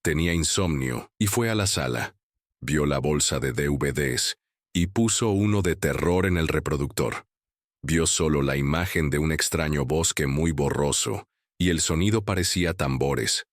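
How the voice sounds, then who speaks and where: Very deep-voiced male horror storyteller. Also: moderate pace, dry recording, studio quality.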